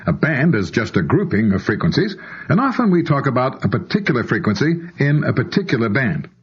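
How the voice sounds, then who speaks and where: A man with an American accent narrates an instructional tape in a slightly rough, husky voice. His intonation moves up and down in a lively way as he explains each point to the listener. The sound is muffled and lo-fi, like an old cassette. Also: medium pitch, a little fast. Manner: clear step-by-step explaining, addressing the listener directly.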